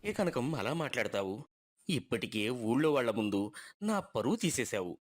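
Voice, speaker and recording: A man's voice: mid-range voice, big pitch swings, a little fast, pitched below the performer's own voice. A man voicing a poor, patient village husband who pleads and reasons with hurt reproach in a moral story. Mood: hurt, reproachful.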